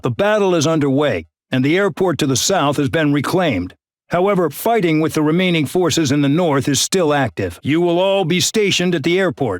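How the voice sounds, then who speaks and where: A man's voice: a little high, dry recording, studio quality, close to an everyday voice. A man voicing an arrogant, bullying male air base colonel in a game, barking brusque, contemptuous orders. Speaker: military commander. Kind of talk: game voice acting.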